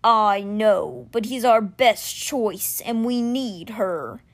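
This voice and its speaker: A woman voicing a huge male stone cat guardian, speaking slowly in a low, measured, earnest way.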